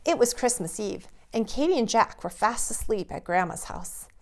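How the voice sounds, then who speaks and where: A woman reading a storybook aloud to young children in an expressive storytelling manner. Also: a little high, moderate pace.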